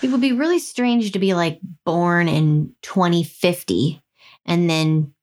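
Lowish-voiced woman podcast host. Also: measured pace, darkish tone. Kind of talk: casual chat and reading listener mail.

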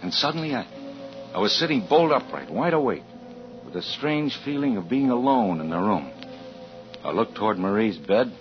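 An actor playing a troubled married insurance investigator, confessing haltingly in 1940s radio suspense style. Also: male voice, lowish voice, big pitch swings. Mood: uneasy, confessional. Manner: halting, hesitant confessional narration. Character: troubled married insurance investigator recounting his story, anxious. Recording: muffled vintage radio recording with music.

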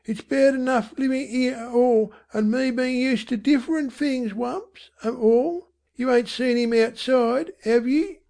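A woman's voice: fairly high pitch, fairly even intonation, a little slow, vocal fry, a little mellow, pitched above the performer's own voice. A woman voicing a doleful, listless slum mother with speech, faltering and feebly respectable, in an audiobook. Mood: indignant. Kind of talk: audiobook character voice.

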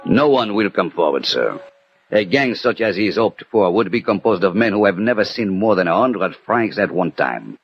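Low-voiced, methodical detective. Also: male voice, sing-song, husky, full character voice. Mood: coolly dismissive. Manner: measured, deliberate, analytical. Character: cool, methodical male French detective narrating his case. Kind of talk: 1960 radio mystery acting with first-person narration. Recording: muffled old radio recording.

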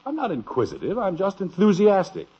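Genial radio-sitcom father, husky, very lively pitch, old lo-fi broadcast. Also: a little fast, full character voice. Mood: playful. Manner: genial, warmly amused. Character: good-natured middle-aged father, curious and teasing. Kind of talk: 1950s radio sitcom acting.

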